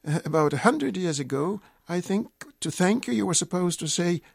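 An older man, a native Russian speaker with a Russian accent, talking deliberately and hesitantly as an expert guest in a radio interview. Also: high voice, lively intonation, creaky, husky, no echo, clean studio sound.

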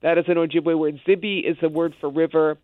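A man with a somewhat high voice talks through a tinny, thin phone line. His speech is loose and conversational and full of asides. The sound is dry and close. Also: fairly even intonation, a little fast, dry recording. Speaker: guest. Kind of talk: casual conversation on a radio show.

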